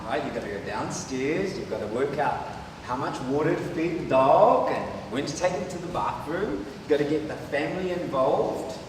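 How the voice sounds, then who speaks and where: A man's voice: medium pitch, far from the mic, noisy background. New Zealand man in an echoey hall. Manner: conversational, rhetorical tags like "right?". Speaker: presenter. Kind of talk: conference presentation.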